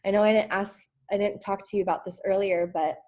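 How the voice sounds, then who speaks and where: A woman's voice: medium pitch, fairly even intonation, brisk, darkish tone. Enthusiastic woman coach on a video call. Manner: enthusiastic, effusive. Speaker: coach hosting a group call. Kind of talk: casual group coaching call.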